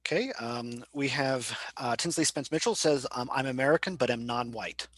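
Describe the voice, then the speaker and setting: A man moderating an online workshop, reading chat messages aloud in a halting way, full of ums and uhs, over a video call. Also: mid-range voice, fairly bright tone.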